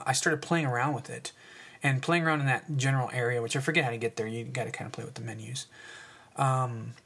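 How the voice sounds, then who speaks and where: A man's voice: medium pitch, a bit bright. A man hosting a casual solo podcast, rambling with lots of fillers and sarcastic asides, sniffling as he talks. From United States. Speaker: podcast host.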